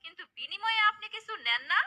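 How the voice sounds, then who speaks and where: A woman's voice: extremely high pitch, sing-song, quick pace, pushed and bright, heavily acted. A woman voicing a gullible, greedy wife and mother in a cartoon, pushy and dramatic. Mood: eager. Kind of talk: cartoon character dialogue.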